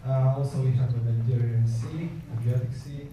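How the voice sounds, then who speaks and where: A man from Croatia with a Croatian accent, speaking English as a second language and giving a conference presentation, halting often with fillers and restarts. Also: medium pitch, steady tone, background noise. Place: conference hall. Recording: echoey hall, voice far from mic.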